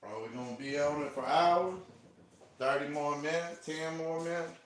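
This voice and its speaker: A man's voice: on the high side, moderate pace. Laid-back Southern man in echoey room. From South Carolina, US. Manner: laid-back, friendly, drawling slang. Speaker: livestream host. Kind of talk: casual live-stream chat with viewers. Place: indoor room. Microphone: small earpiece microphone. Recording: echoey room with faint ambient noise.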